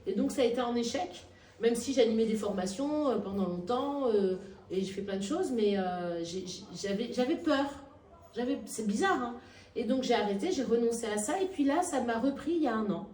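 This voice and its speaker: A woman being interviewed, with frequent restarts and hesitations that give way to emphatic, lively stretches. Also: fairly high pitch, moderate pace, slight background noise. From Nigeria and Madagascar. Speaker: actress and workshop founder, interviewee. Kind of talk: spontaneous interview talk. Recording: echoey room, heard from a distance.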